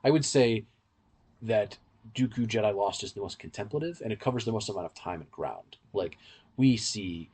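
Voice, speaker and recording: A man's voice: on the low side, expressive, moderate pace, a little mellow, breathy edge. A man co-hosting a podcast, giving casual, in-depth fan commentary in a rambling, explanatory way. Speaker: podcast co-host.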